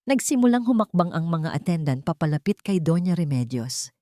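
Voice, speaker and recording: Low-voiced woman narrating a drama. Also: big pitch swings, normal speed, dark tone, no echo, studio quality. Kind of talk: dramatic scripted storytelling.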